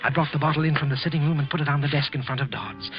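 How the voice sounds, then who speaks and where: A man's voice: on the high side, fairly even intonation, music in the background, natural voice. Man acting tense, guilt-ridden murderer in old radio. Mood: anguished confession. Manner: tense, halting, repeating himself. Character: guilt-ridden, frightened office clerk narrating his murder. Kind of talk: 1940s radio suspense acting, first-person narration. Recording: muffled old radio recording.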